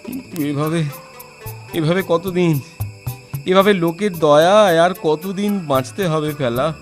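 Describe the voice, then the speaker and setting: A man's voice: fairly high pitch, measured pace, soft background music, lightly acted. A man playing a poor, grateful but bold wanderer in a children's cartoon fairy tale, speaking earnestly and expressively. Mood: weary.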